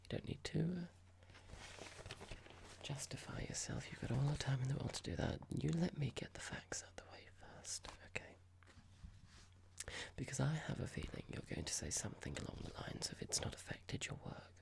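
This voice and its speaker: An ASMR performer playing a cold, condescending boss in a roleplay, speaking softly in a measured, formal, halting and passive-aggressive way. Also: medium pitch, big pitch swings. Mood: dismissive, controlled. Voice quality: breathy, creaky whisper. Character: cold condescending boss about to fire an employee. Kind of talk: ASMR roleplay, whispered and soft-spoken.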